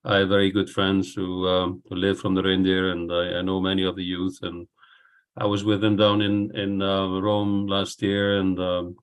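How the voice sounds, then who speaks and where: A man, speaking as a guest on a video call in a rambling, unhurried, self-deprecating way. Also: sits low, completely flat delivery, clear, resonant tone. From Iceland. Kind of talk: casual online talk. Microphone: computer on a video call.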